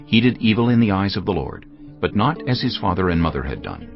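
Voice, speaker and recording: A man narrating scripture as a scripted drama, solemn and measured. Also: deep voice, big pitch swings, gravelly. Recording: dull lo-fi sound, music underneath. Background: faint music.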